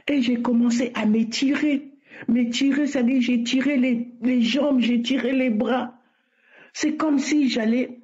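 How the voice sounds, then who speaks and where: A woman's voice: mid-range voice, mellow. A woman giving an earnest spoken religious testimony, often repeating her words. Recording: muffled lo-fi recording.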